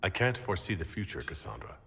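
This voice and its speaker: A man's voice: low-pitched, sing-song, fast, creaky, a bit bright, husky, heavily acted. A man playing a composed, skeptical commander hero in a fantasy game, dry and questioning. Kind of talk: fantasy game dialogue acting.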